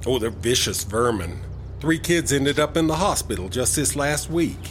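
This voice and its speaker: A man with an American accent playing a suspicious, humorless border officer in a comedy audio drama, deadpan and official. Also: mid-range voice, moderate pace, faint music behind, natural voice. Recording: faint vehicle ambience noise.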